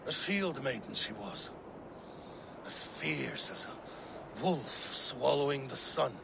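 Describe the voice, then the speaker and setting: A gravel-voiced Viking king, drunk and unwell, speaking slowly and heavily, rising and falling widely as he broods. Loud background noise runs under the game audio. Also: medium pitch, animated. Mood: mournful. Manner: slow, heavy, mournful storytelling. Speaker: game character. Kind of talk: video game cutscene acting.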